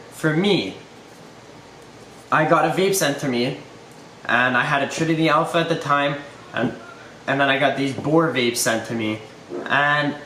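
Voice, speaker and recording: A man in his twenties telling a rambling story-time vlog, his voice slightly hoarse. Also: a little high, fairly bright tone, echoey room, slight background noise, low-quality recording. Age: 20s. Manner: rambling and loose, lots of "like" and "you know". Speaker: YouTuber.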